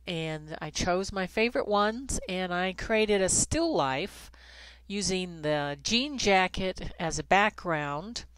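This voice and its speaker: A middle-aged woman with a stuffy, congested nose giving a conversational photography software tutorial, with frequent ums and restarts. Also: fairly low pitch, smooth, no echo. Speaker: photography instructor. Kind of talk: screen-recorded software tutorial. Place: Kansas City, Missouri, USA.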